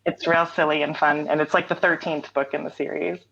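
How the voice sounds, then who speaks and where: Low-voiced woman, relaxed and laughing. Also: a little fast, creaky, muffled recording. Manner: relaxed, laughs often, many fillers. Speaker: interview guest, writer and songwriter. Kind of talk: casual interview chat.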